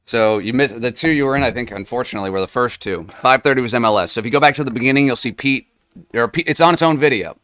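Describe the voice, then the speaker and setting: A young adult man with a hoarse, fading voice, hosting a live card-opening stream in a chatty, upbeat way with lots of fillers. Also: mid-range voice, quick pace, a bit bright. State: hoarse, losing his voice. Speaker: live card-break host. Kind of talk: livestream sales chat.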